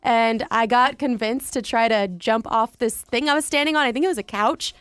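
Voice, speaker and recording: Female radio co-host, fairly high voice, lively, dry close mic. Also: normal speed, clear, resonant tone, no room sound. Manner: lively, laughs while talking. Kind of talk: casual storytelling banter.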